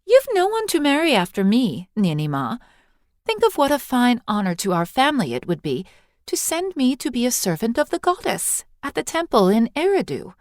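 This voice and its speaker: A woman's voice: fairly high pitch, wildly swooping pitch, moderate pace, no echo, clean studio sound, voice pushed up. A woman voicing an eager young village girl in a story, earnest and coaxing. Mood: pleading.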